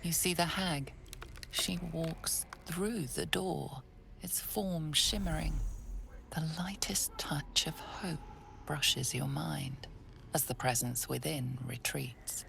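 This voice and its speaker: An actress playing the grave storyteller of a dark fantasy game, narrating in a measured, hushed and ominous way. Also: female voice, low voice, creaky, noisy background, exaggerated voice. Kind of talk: scripted second-person game narration.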